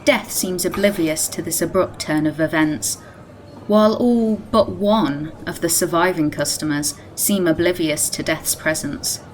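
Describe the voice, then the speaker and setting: A woman's voice: fairly low pitch, a little slow, a little hiss or hum, lightly acted, poor audio. A woman playing the composed narrator of a radio play, reading scripted present-tense storytelling. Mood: measured.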